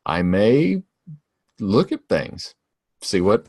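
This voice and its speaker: A man's voice: fairly low pitch, sing-song, very slow, a little mellow, no echo, clean studio sound. A man in his forties co-hosting a podcast, chatting casually, rambling with many restarts and laughing often. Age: 40s. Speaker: podcast co-host. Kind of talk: casual chat.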